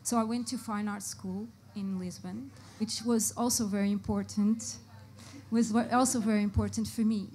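Portuguese-accented woman, mellow voice. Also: medium pitch, slight background noise. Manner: hesitant, many fillers, searching for words. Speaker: panelist, brand art director. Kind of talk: panel discussion. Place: live panel event before an audience.

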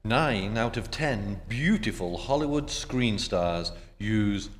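A man playing a measured announcer narrating an old-time Hollywood radio show. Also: fairly low pitch, animated. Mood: measured, presentational. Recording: reverberant stage hall, faint noise.

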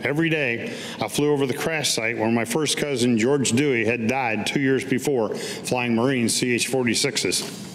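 An older man with an American accent reads his own written recollections from a podium. His voice sits at a middle pitch. The hall adds long reverb, with slight background noise. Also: moderate pace, a bit bright. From United States. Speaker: veteran reading his own written piece. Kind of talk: staged reading of autobiographical writing. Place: public meeting room, at a podium. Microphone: podium gooseneck microphone.

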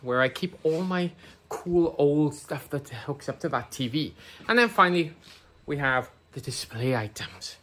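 A woman's voice: low voice, lively intonation, a little slow, fairly bright tone, slight background noise. A middle-aged woman giving a jokey, self-deprecating tour of her computer room, filmed on a phone. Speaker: viewer video contributor. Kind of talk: casual home tour video. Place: room full of old computers, indoors. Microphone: phone held at arm's length.

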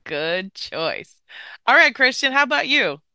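A woman's voice: high-pitched, big pitch swings, unhurried, sharp tone, smooth, no room sound. A woman hosting a tech podcast, upbeat and enthusiastic as she interviews guests and reads news. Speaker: podcast host.

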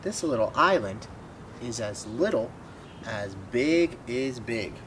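A man's voice: medium pitch, expressive, slow pace, a bit bright, slight background noise. A man voicing a small, bold, curious kitten in a children's storybook, with a questioning delivery. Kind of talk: storybook read-aloud.

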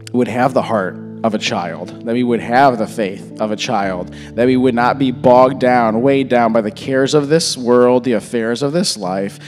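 A man in his thirties, a little hoarse, giving a relaxed church talk and prayer into a handheld mic, full of fillers and restarts. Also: mid-range voice. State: slightly hoarse. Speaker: pastor. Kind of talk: informal sermon and prayer. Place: large church stage. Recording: church hall reverb. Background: soft worship music.

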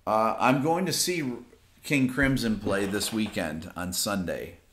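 Deep-voiced relaxed man in roomy studio. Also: unhurried, a little hiss or hum. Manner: relaxed, rambling, many fillers, reading viewer questions aloud. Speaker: YouTube music channel host. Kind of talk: casual late-night livestream chat reading comments. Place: home music studio with amplifier stacks. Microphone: off-camera mic, seated at desk with phone.

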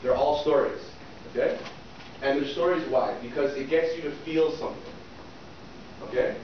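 A man's voice: on the high side, fairly even intonation, normal speed. Trainer man quizzing a small class. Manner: asks the room frequent questions, checking with "okay?". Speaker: soft skills trainer. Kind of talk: interview-prep workshop talk. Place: small classroom with a projector screen, indoors. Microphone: far camera at the back of the room. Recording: distant, echoey, muffled room recording with noise.

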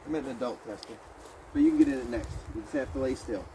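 A middle-aged man demonstrating bushcraft in a pine forest, relaxed and explaining step by step as he works, picked up by a camera a few meters away. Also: mid-range voice, fast. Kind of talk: outdoor demonstration. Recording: outdoor noise behind voice.

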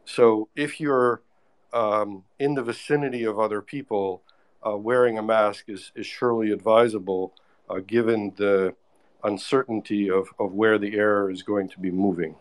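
A man on an online audio panel, answering listeners in a measured way with many fillers and restarts. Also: fairly low pitch, steady tone. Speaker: expert panelist. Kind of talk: live audio panel discussion. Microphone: remote online audio call.

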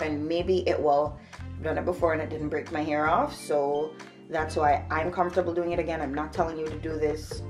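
A woman in her twenties from Jamaica, chatting casually to camera in a how-to vlog, explaining each step as she works. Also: sits low. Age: 20s. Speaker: vlogger. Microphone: camera on a shelf a few feet away.

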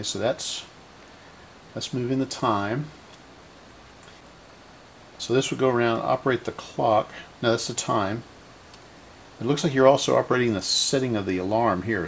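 Male repair host, low voice, halting unscripted workbench explanations, some room noise behind him. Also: creaky. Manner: halting, thinking aloud while working. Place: indoor cluttered electronics workbench.

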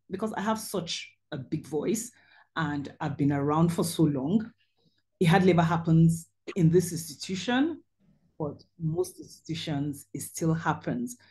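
A woman's voice: sits low, warm tone. A middle-aged woman surgeon hosting a webinar on a video call, telling her own story over slides in an earnest way with frequent ums.

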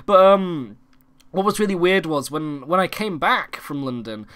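A young man from the United Kingdom, hoarse and croaky from a cold, hosting a casual gaming-channel vlog and rambling with many fillers and restarts. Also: on the high side, expressive, measured pace, fairly bright tone. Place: home recording room.

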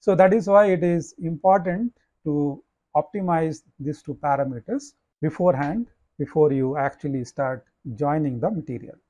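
A man, a professor giving a slide lecture, explaining in a measured way with frequent "uh" and "you know". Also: on the high side, mellow, no room sound.

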